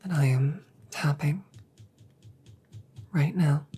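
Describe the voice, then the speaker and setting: A woman playing a calm, reassuring damaged female android in an ASMR audio roleplay, speaking in soft, breathy whispers with measured, formal phrasing. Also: low-pitched, heavily acted. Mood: calm, instructing. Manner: slow, measured, precise formal phrasing with pauses.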